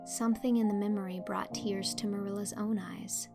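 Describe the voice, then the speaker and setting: A woman's voice: medium pitch, steady tone, moderate pace, gravelly, dark tone. A woman reading scripted storybook narration as the narrator of an audiobook. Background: music.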